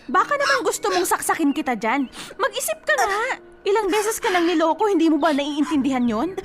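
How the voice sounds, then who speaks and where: An actress playing a blunt, bossy female cousin in a radio drama, teasing and scolding with a sharp tongue. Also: extremely high pitch, very animated, a little fast, slight background noise.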